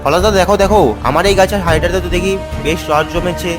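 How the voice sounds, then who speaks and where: A man voicing an eager, cocky young village lad in a cartoon, excitable and chatty. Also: sits high, fast talker, music in the background, natural voice. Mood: cocky, excited. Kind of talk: cartoon voice acting.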